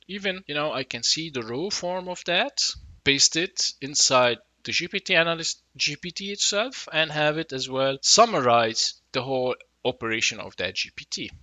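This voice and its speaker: Bright, gravelly man demoing software. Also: mid-range voice, dry recording. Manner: casual explanatory, thinks aloud with fillers. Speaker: tech tutorial host. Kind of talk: screen-recorded software walkthrough. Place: small room indoors. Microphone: headset.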